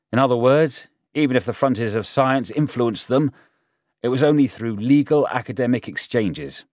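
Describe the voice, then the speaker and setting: A man's voice: fairly low pitch, dry recording, studio quality, voice pushed up, lightly acted. A man voicing a commanding, formal general in an audiobook, speaking in a firm, official and emphatic way. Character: commanding, formal male general briefing a meeting.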